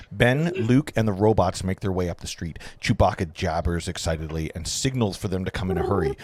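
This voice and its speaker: A man's voice: sits low, a little mellow, slight background noise. A man reading stage directions as narrator at an informal table read, sometimes stumbling and correcting himself.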